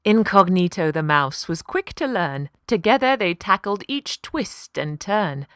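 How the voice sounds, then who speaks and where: A woman reads a children's story in a slightly low voice with plenty of melodic movement. Her delivery is unhurried, rhythmic and deliberate, recorded close and dry. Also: lively intonation, no echo. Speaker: voice actor, storyteller. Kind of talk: expressive scripted children's story narration.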